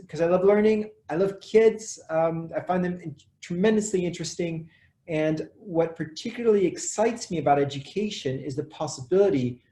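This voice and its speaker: A man's voice: fairly high pitch, warm tone, echoey room. A man in his forties with a French accent introducing himself on a webinar, thoughtful and repeating small words as he looks for the right phrase. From France. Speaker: educator and webinar co-presenter. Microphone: video call.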